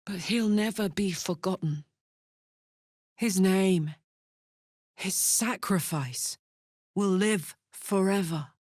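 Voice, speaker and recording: A woman's voice: mid-range voice, takes it slowly, long pauses, darkish tone, dry recording, studio quality. A woman voicing a brash, big-hearted, hot-tempered warrior in a fantasy video game, speaking bluntly, informally and with open emotion. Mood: tender, hurt.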